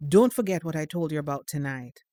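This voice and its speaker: A woman's voice: sits low, lively intonation, brisk, dark tone, dry recording, clean studio sound. A woman playing a firm, protective mother of a teenage boy, pointed and motherly, in a naturalistic audio drama. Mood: stern, worried.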